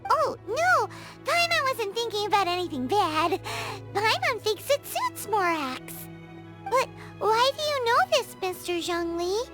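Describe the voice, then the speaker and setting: A tiny fairy sidekick chirps in an extremely high, squeaky, childlike voice. The delivery is excitable and naive, swooping widely in pitch like an anime game mascot, over music. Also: female voice, a little slow, heavily acted. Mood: flustered, curious. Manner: excitable, refers to self in third person. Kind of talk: English anime game dub acting.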